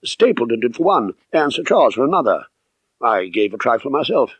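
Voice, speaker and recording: A man's voice: mid-range voice, big pitch swings, brisk, breathy edge, no echo. Man voicing a musing, chatty country doctor. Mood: musing, gossipy. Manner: musing, conversational. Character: chatty, thoughtful country doctor, genial male neighbour. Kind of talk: audiobook character dialogue.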